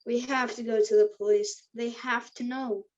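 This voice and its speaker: A woman's voice: fairly high pitch, steady tone, normal speed, darkish tone, natural voice. A woman playing an anxious, earnest youngster in a screenplay table read, with dull lo-fi sound. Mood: urgent, challenging.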